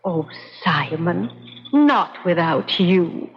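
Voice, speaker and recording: An actress playing a devoted, gently chiding centuries-old lady ghost in a genteel, theatrical radio drama manner. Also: on the low side, lively intonation, very slow, big-room sound, a little hiss or hum, full character voice. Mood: wistful, loving. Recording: dull vintage radio recording.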